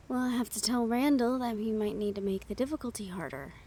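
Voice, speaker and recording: A woman playing a gentle, teasing but worried young siren in an audio roleplay, speaking softly and intimately to the listener. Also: fairly high pitch, slightly rough. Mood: playful teasing.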